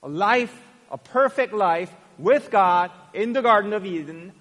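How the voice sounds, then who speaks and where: A man in his forties from South Korea with a Korean accent, preaching a sermon as pastor, earnest, stressing words and then softening at the ends of phrases. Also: high voice, animated, unhurried. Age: 40s. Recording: long hall reverb.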